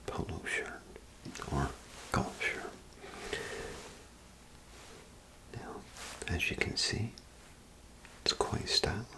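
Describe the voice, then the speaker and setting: A man doing an ASMR role play as a clothing salesman, with gentle, hesitant, unhurried patter. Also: low voice, rough recording.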